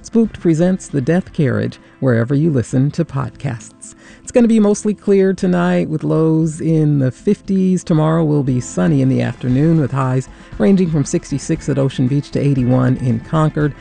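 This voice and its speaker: Male announcer, gravelly, mellow, faint music behind. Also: on the high side, normal speed. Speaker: station announcer. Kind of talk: promo and weather read.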